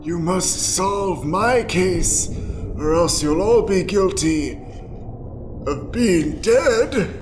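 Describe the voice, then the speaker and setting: Woman playing a slow, drawn-out melodramatic male phantom, echoey. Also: lowish voice, expressive, noisy background. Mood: ominous, theatrical. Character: indignant, theatrical male courtroom phantom. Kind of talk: improvised comedy radio play. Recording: roomy echo under loud music.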